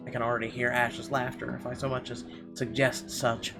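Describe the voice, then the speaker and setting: A man's voice: mid-range voice, level tone, brisk. A man playing a visual novel, reading its text aloud expressively with casual commentary. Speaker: let's player reading game text aloud. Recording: guitar game music behind the voice. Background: guitar game music.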